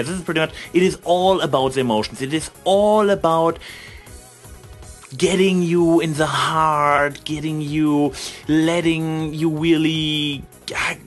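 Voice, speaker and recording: Rambling, halting man over music. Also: a little high, fairly bright tone. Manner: halting, searching for words, repeats and rephrases himself. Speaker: reviewer giving opinions on fan fiction. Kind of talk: unscripted rambling commentary.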